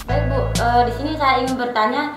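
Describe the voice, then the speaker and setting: A woman's voice: high voice, steady tone, brisk. Polite woman with a Lombok accent. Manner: polite, asks questions like a host. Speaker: trainee presenter. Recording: echoey room with music.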